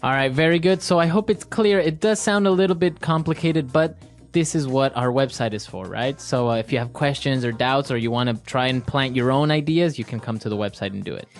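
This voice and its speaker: A man co-hosting an English-learning podcast, explaining grammar in a relaxed, casual way. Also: mid-range voice, brisk, faint music behind. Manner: relaxed, uses lots of fillers. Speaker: podcast co-host and English teacher. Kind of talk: casual teaching chat.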